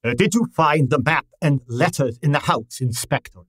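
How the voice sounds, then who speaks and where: A man playing a pompous, imperious middle-aged genius detective, precise and deliberate, in an old-time radio drama style. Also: fairly high pitch, fairly bright tone, breathy edge, dry recording. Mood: probing.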